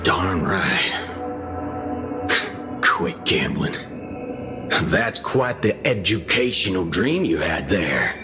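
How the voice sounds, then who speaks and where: An actor playing a calm, teasing, world-weary man in a game, with wry, drawn-out delivery. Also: deep voice, heavily acted. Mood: wry amusement. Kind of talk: game voice acting, dry banter. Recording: loud music behind the voice.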